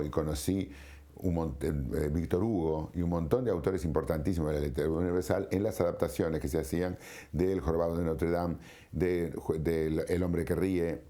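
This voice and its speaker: An older man from Argentina with an Argentine accent, reminiscing and explaining in a halting, anecdotal interview style. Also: very deep voice, mellow.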